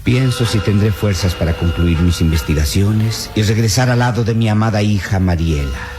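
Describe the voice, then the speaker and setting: A deep-toned old professor of radio drama, played by a man, principled and solemn. He speaks formally and earnestly, over a light music bed. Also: moderate pace, lightly acted. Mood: wistful, anguished. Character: elderly idealistic male professor, principled, longing father. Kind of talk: old radio serial acting.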